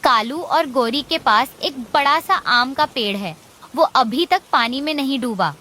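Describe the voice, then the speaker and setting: A small female cartoon bird, played by a woman, speaks in a high, bright voice. She is earnest and imploring, a hungry creature in a children's tale, with faint noise behind her. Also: animated, unhurried, exaggerated voice. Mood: worried. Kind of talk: animated children's moral story dialogue.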